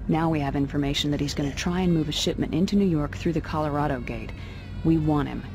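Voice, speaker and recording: Brisk young female officer. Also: deep voice, background noise. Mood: businesslike. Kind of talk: video game cutscene acting. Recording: game music behind. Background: music.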